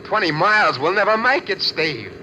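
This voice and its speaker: A panicky young male bank robber, pleads in a high, anxious voice. The acting is from old radio drama, with plenty of noise on the recording. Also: expressive, normal speed, sharp tone, full character voice. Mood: panicked. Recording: very noisy 1940s radio recording.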